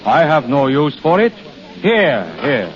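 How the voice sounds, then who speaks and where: An actor playing Death disguised as a courtly, wondering prince in halting, deliberate 1930s radio drama style. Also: male voice, fairly high pitch, big pitch swings, a crowd in the background. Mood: gracious, bemused. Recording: muffled 1930s radio broadcast, music behind.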